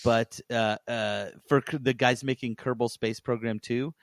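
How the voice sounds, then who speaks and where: A man co-hosting a podcast, giving opinions in a casual discussion in a halting, explanatory way. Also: mid-range voice, steady tone, no echo, clean studio sound. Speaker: podcast co-host.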